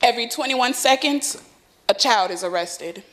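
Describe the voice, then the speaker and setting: A young woman from Florida, a student leader, reading a prepared statement in a clear, deliberate way. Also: on the high side, animated, a bit bright. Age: young adult.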